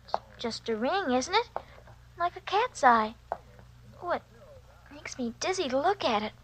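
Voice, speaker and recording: A woman's voice: high-pitched, expressive, a little slow, a little hiss or hum, rough recording. An actress playing an innocent, curious little schoolgirl in 1940s radio drama style. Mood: uneasy, wondering. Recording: vintage 1940s radio recording.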